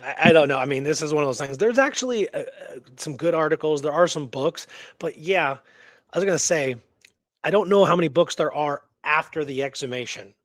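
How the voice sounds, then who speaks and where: A man with a slightly high voice co-hosts a conversational history show. He muses aloud, throwing out questions and small tags for agreement. The sound is very dry, with no room to it. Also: brisk, no echo. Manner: musing, poses rhetorical questions and seeks agreement with tags. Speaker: co-host of a history radio show. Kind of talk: casual conversational discussion of a historical mystery.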